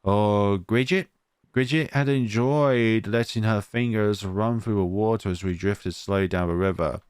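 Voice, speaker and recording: A man's voice: lowish voice, a little slow, smooth, no room sound, studio quality. A man hosting a gaming video, reading a visual novel aloud and adding his own asides. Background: music.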